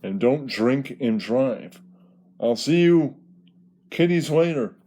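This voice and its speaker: A man's voice: mid-range voice, expressive, slow pace, warm tone. A man voicing a laid-back, crude male stoner puppet, drawling mock-preachy lines in a comedy puppet show.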